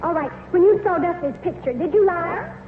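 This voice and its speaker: A woman's voice: very high-pitched, lively intonation, moderate pace. A woman playing an eager bird lady who insistently coaxes her pet to perform, in a 1940s radio comedy style. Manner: insistent, repetitive coaxing. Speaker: guest bird trainer. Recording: very noisy, tinny 1940s radio recording.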